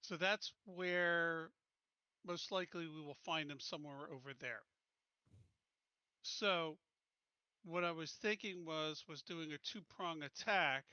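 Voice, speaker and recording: Male player, sharp and forceful higher voice, casual stop-and-start planning, dry. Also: slow pace, pauses often, clean tone, dry recording, dull audio. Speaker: tabletop game player. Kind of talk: casual game planning talk.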